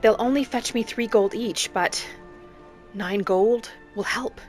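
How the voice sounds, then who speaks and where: A woman's voice: a little high, takes it slowly. Woman narrating a cozy roleplay over music. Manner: polite, gently formal phrasing in character. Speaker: player narrating in character as a roleplay traveler. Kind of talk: cozy in-character roleplay narration of a video game. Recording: background music behind the voice.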